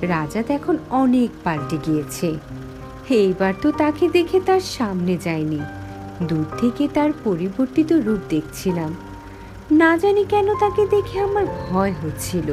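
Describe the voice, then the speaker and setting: A woman's voice: mid-range voice, sing-song, a little slow, music in the background. A woman voicing a wistful young village woman, narrating a scripted cartoon story with dramatic expression. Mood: suspenseful, wistful. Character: Nina, wistful young village woman recalling lost childhood friend.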